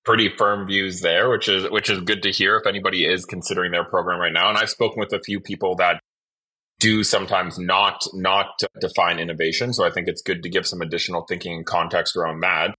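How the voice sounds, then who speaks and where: A man hosting a podcast interview in a conversational, measured and thoughtful way. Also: low voice, little pitch movement, bright, forceful tone, husky, low-quality recording.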